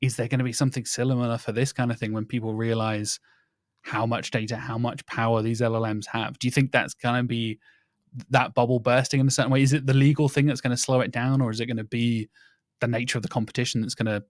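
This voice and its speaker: A man hosting a podcast, talking in a casual, animated and rambling way and acting out little quoted exchanges. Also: mid-range voice, quick pace, no echo, clean studio sound. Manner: animated, rambling, quotes others in mock voices. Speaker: podcast host.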